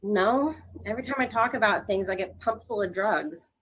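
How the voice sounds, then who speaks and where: A woman playing a frightened, distraught young psychiatric patient in a dramatic read, halting and stammering as she pleads. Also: mid-range voice, a bit bright, breathy edge, dull audio. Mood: guarded. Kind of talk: dramatic table read of a screenplay.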